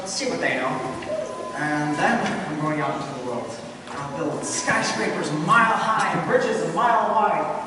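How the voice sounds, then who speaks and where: An actor playing a restless young man, an eager dreamer who talks in an earnest, expressive way, in an amateur radio play. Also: natural voice. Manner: earnest, expressive, swinging pitch. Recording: echoey hall, distant mic, noisy.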